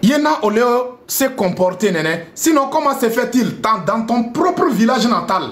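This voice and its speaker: A man's voice: very high-pitched, lively intonation, moderate pace, a bit bright, reverberant. A man ranting scornfully on a live stream, repeating himself and mixing French with a local language. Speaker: live streamer addressing viewers. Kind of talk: heated live-stream rant.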